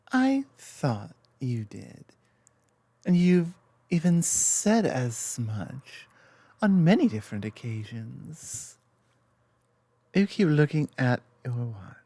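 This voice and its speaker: A woman playing an affectionate, teasing lamia girlfriend in an intimate ASMR roleplay, speaking softly and confidently with playful asides. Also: low-pitched, very animated, slow, long pauses, husky, dry recording, heavily acted.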